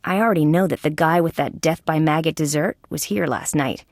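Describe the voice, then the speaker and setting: A tough female detective from a video game, with a lowish, gravelly voice. She is dry and sardonic, delivering questions and observations matter-of-factly. The sound is close and dry. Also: a little fast, no echo. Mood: musing. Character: wry, tough female insect police detective. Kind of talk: scripted game dialogue and observations.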